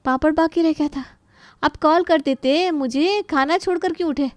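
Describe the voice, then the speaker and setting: Woman playing a grumbling, high-voiced young daughter-in-law. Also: moderate pace, dry recording, natural voice. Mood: resentful. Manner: complaining, exasperated.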